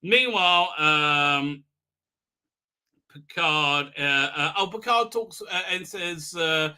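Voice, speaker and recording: A man hosting a fan review livestream, giving a rambling, halting, sarcastic recap with repeated words and exasperated outbursts. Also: fairly high pitch, pushed and bright, clear, resonant tone. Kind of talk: casual mocking episode recap.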